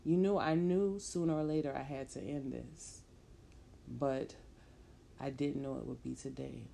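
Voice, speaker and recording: A woman's voice: extremely low pitch, steady tone, unhurried, vocal fry, mellow, a little hiss or hum, heavily acted. A woman voicing an angry, wealthy male tradesman who speaks harshly and dismissively. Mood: cold.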